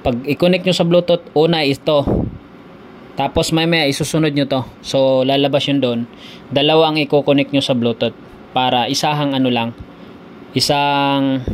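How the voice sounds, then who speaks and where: A man giving a casual, unscripted product tutorial, explaining haltingly with many repeats and fillers. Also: fairly high pitch, slight background noise. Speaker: tutorial video host.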